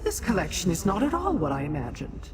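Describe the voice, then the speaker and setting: A woman's voice: lowish voice, huge pitch swings, brisk, a little hiss or hum. Imperious, echoing demon lady. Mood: cool, disdainful. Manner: imperious, formal. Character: haughty, commanding demon woman companion. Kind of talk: video game character acting. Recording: long reverb, game music behind.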